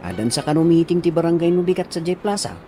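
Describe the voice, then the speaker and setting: A man's voice: a little high, big pitch swings, quick pace, music in the background. A man with an Ilocano accent acting a casual villager in a conversational radio drama scene. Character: villager chatting with an elder about a meeting. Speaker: voice actor in radio comedy drama.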